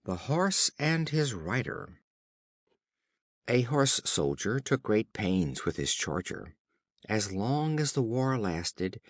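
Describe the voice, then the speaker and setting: A man narrating children's fables for an audiobook in a measured, formal storytelling manner. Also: lowish voice, no echo, clean studio sound.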